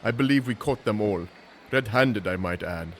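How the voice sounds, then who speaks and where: A man's voice: lowish voice, normal speed, a little hiss or hum, lightly acted. A man playing an unflappable guard with a dry, formal wit in an audio drama. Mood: wry amusement. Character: dry, unflappable male guard catching thieves.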